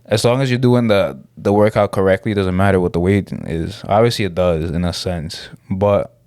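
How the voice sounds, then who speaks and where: A young man from the Dominican Republic co-hosting a podcast, talking in a relaxed, rambling, slangy way with lots of fillers. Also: sits low, normal speed, no echo, studio quality. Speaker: podcast co-host. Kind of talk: casual podcast chat.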